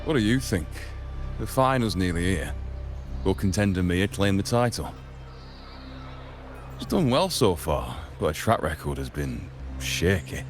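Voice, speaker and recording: Deep-voiced skeptical arena gossip. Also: male voice, big pitch swings, measured pace, long pauses, soft background music. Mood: gossipy, doubtful. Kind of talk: video game character acting.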